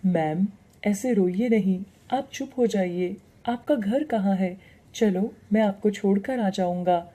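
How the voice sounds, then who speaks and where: A woman's voice: mid-range voice, takes it slowly, mellow. A woman playing a grateful young male doctor in a story, speaking politely and with care. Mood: comforting. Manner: polite, caring.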